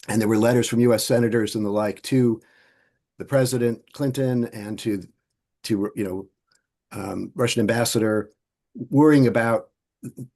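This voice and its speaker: Guy interviewing for an oral history thing, mid voice, kinda halting, keeps restarting. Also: medium pitch, dark tone. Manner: thoughtful, halting, many restarts.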